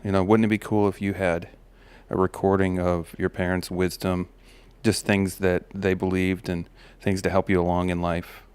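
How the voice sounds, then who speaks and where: Man, low steady voice, smooth with slight gravel, reflective host talking, dry close sound. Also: normal speed, hardly any pauses, no room sound. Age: adult parent of a daughter. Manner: relaxed, musing, many fillers and restarts. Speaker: podcast host. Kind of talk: reflective personal monologue.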